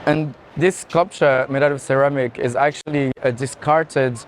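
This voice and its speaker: A man giving unscripted art tour commentary in a halting, explanatory way. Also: mid-range voice, steady tone. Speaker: art gallery director in conversation. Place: indoor art fair hall. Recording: clear background noise of busy venue.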